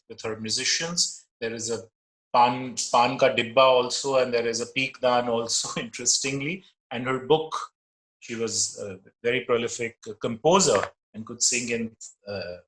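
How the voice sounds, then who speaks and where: A man with an Indian English accent giving an online lecture as a musicologist, explaining in a measured way with frequent pauses. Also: mid-range voice, fairly bright tone, reverberant, low-quality recording. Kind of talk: illustrated slide lecture.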